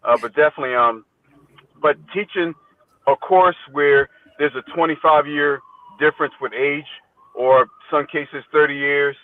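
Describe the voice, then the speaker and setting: A man's voice: fairly high pitch, steady tone, normal speed, dry recording. A man in his fifties, a teacher appearing as a podcast guest, talking conversationally and with good humor, often repeating his phrases. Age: 50s. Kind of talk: casual interview chat. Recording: thin phone-like connection.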